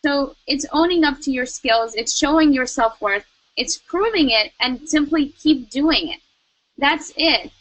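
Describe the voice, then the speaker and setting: A young woman delivers motivational advice in a high, bright voice. She is emphatic and repeats her key lines for effect. The sound is close and dry with no room around it. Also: measured pace, dry recording, low-quality recording. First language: Russian. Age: young adult. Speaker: network marketing co-host. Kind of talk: motivational talk.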